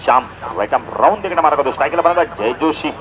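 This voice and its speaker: A man giving live play-by-play cricket commentary in an animated announcer's delivery, with punchy stock phrases. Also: on the high side, very fast. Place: outdoor cricket ground. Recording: outdoor event PA with crowd noise. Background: crowd and outdoor event noise.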